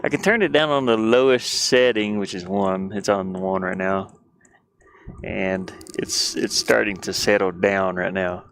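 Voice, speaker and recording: Male casual streamer, low register with plenty of fry, meandering think-aloud delivery, light heater noise in a van. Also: fairly even intonation, unhurried, fairly bright tone. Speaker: game developer livestreamer. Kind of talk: casual livestream chat while working. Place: inside a van. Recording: background noise from diesel heater fan. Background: heater hum and clicking.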